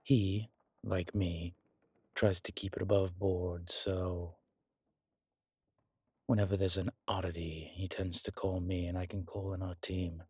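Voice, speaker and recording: A man playing a long-lived demonology professor in an audio roleplay, calm, protective and gently wry, speaking in a measured, deliberate way. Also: low voice, husky, dry recording, clean studio sound. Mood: hushed, thoughtful. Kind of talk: slow intimate audio roleplay acting.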